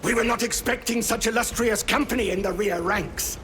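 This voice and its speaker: A man's voice: sits high, normal speed, music in the background, close to an everyday voice. An actor playing a gritty guard officer, deferential to his superiors and barking orders at his troops.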